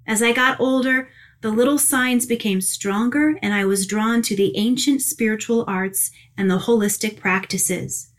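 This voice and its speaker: A woman's voice: fairly high pitch, fairly even intonation, normal speed. Gushing woman hosting a spiritual podcast. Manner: gushing, laughs often. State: tired. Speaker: podcast host and angel communicator. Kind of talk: casual spiritual podcast chat.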